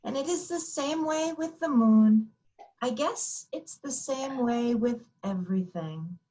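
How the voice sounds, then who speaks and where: A woman's voice: a little high, lively intonation, takes it slowly, mellow, low-quality recording. A woman playing a confident little princess girl in a storybook readers theater. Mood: sleepy.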